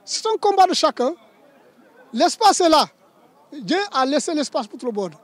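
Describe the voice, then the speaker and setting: A man's voice: extremely high pitch, sing-song, normal speed, a faint crowd. A man giving an emphatic, exhorting documentary testimony. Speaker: interviewee.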